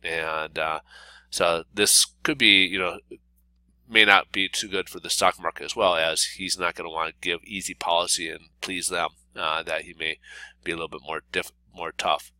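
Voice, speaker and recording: A man's voice: low voice, steady tone, moderate pace, bright, forceful tone, smooth, dry recording. A man with a cold and a congested voice, giving a casual daily market report with lots of fillers and restarts. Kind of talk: unscripted solo market commentary over slides.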